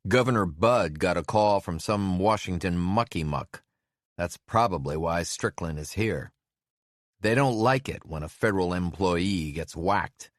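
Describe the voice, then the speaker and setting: A man voicing a loud, blustery middle-aged sheriff who shouts over engine noise. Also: sits low, lively intonation, measured pace, dry recording, studio quality.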